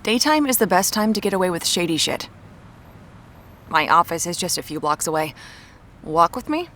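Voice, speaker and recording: An actress playing a brisk, evasive young woman amateur detective in an audio drama, dry and matter-of-fact. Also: lowish voice, big pitch swings, fairly bright tone, slight background noise.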